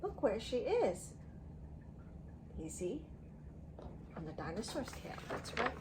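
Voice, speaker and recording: A woman teacher reading a story aloud to young children in an expressive way, using simple phrasing and putting questions to them. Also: a little high, takes it slowly, noisy background. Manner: expressive, emphatic delivery.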